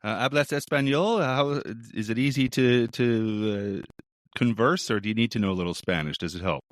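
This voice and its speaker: A middle-aged man hosting a travel podcast, chatty and quick to laugh. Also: medium pitch, no room sound, clean studio sound. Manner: friendly, laughs often, chatty. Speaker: podcast host. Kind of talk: casual interview and show outro.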